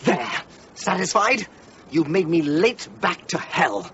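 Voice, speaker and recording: A petulant devil, sulky and aggrieved, lively pitch, lo-fi radio drama. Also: male voice, slow pace, heavy background noise. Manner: whining, sulky complaints. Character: petulant male devil, sulky and put-upon. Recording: muffled lo-fi radio recording.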